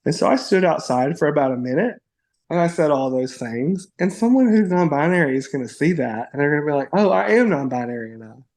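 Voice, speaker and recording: A young adult podcast guest in casual conversation, playful and bubbly, with lots of likes and you-knows. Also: male voice, on the high side, animated, fairly quick, darkish tone.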